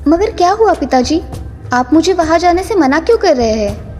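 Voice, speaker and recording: A woman voicing a naive, insistent young woman in an animated story. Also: very high-pitched, moderate pace. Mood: pleading, insistent. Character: young woman, naive daughter-in-law and stubborn pleading princess. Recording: long reverb, music underneath.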